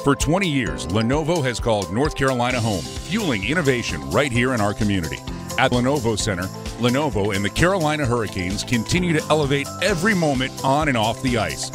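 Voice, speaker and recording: A man narrates a scripted radio promo at a medium pitch with lively, swooping intonation. Music plays clearly behind him. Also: fast talker. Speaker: advertisement voice-over.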